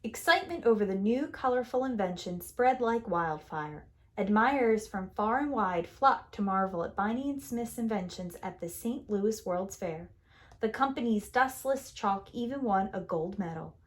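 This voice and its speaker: A woman reading a picture book aloud like a preschool teacher, in a deliberate, expressive storytelling manner that leans on key words. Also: mid-range voice. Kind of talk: children's story read-aloud.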